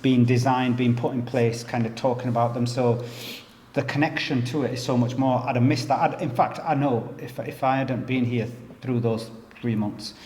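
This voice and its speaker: A man's voice: medium pitch, fairly even intonation, normal speed, darkish tone. A man who teaches jiu-jitsu, chatting casually, laughing often and repeating words as he tells stories. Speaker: jiu-jitsu professor and academy co-owner. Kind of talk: casual chat. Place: inside a martial arts gym. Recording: echoey room with faint noise.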